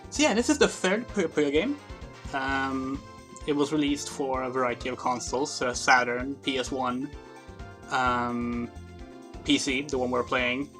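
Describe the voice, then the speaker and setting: Game streamer, casual livestream commentary, hesitant and rambling, mid pitch, game music in background. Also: measured pace, fairly bright tone. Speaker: game streamer, channel host.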